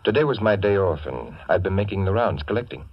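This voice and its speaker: Secretive, polite Greek patriot in vintage radio drama: very low, earnest, dry room, dulled old recording. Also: male voice, big pitch swings, quick pace, breathy edge, dry recording, muffled recording, full character voice. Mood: earnest, confiding. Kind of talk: 1940s radio drama acting.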